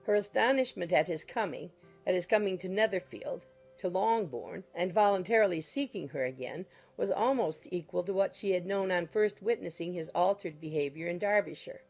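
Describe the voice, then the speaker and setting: A woman reading a classic novel as an audiobook narrator, in measured, formal storytelling style. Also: fairly low pitch, vocal fry, darkish tone. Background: music under the voice.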